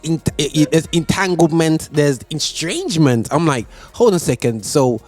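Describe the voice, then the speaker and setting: A man hosting a radio show interview, talking in an animated, chatty way full of fillers. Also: fairly high pitch, moderate pace, faint music behind.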